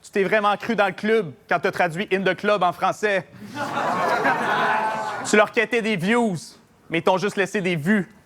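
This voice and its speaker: Québécois guy spitting battle bars, high voice, mocking punchlines, lots of noise around. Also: fairly even intonation, normal speed, long pauses. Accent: Québécois French. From Quebec. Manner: punchline delivery, mocking, laughing along. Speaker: battle rapper. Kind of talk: a cappella rap battle verses. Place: live battle venue with audience.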